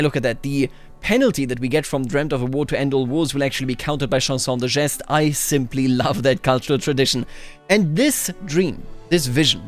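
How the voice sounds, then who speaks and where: Male game commentator, slightly high voice, musing storyteller who explains as he goes, soft music underneath. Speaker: host of a video game playthrough series.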